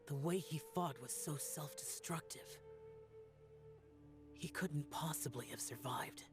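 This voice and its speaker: An actor playing a stoic, ancient demon warrior guardian who speaks in a solemn, measured way. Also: male voice, on the high side. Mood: grim. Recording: game cutscene with soft music.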